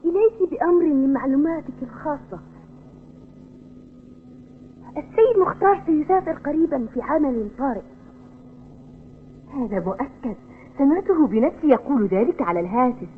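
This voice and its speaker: A spirited, nosy schoolgirl in a cartoon, high-voiced and swooping in melody. The audio is tinny and narrow. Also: fairly quick, slight background noise. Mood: eager. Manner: animated. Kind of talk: Arabic cartoon dubbing. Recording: tinny, phone-like old TV dub audio.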